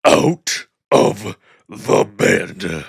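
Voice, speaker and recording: A man voicing a furious old wolf emperor, husky and gravelly, barking slow imperious orders in a visual novel. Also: deep voice, very animated, dry recording, studio quality, pitched below the performer's own voice, full character voice.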